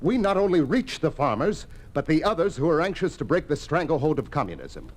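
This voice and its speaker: An actor playing an earnest, defiant young farmers' leader, insistent and persuasive in 1950s radio drama style. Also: male voice, a little high, big pitch swings, a little fast. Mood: rousing, determined.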